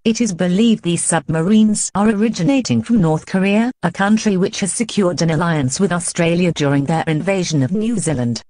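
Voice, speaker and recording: A woman announcer with a measured, impersonal synthetic delivery reads a formal scripted emergency broadcast. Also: lowish voice, mellow, no echo.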